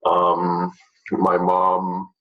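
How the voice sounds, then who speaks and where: A man's voice: low-pitched, very slow, dull audio. A young man, a fitness teacher from Jamaica, talking casually to his students with many fillers. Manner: relaxed, chatty, many fillers.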